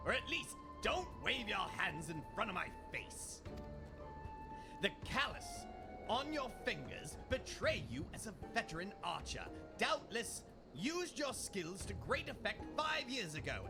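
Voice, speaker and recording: A man voicing a prim, eloquent female reporter from a video game, with wordy, precise diction. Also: medium pitch. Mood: accusing. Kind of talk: game dialogue read-aloud.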